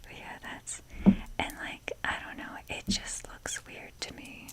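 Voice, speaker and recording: Whispering woman, slow and rambling. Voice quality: soft breathy whisper. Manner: rambling, hesitant, self-deprecating humour. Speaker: ASMR video creator showing a toy collection. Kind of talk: ASMR, casual rambling show-and-tell.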